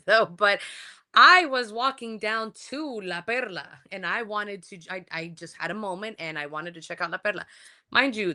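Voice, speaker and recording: A woman from Colombia, a wrestling guest, telling a story casually with lots of fillers and laughter. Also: fairly low pitch. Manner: animated, laughing, many fillers.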